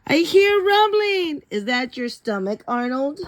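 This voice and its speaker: A woman voicing excitable schoolchildren from a storybook, exclaiming with urgency. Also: high voice, measured pace, background noise, pitched above the performer's own voice. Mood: alarmed. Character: excitable schoolchildren on a field trip, alarmed and curious.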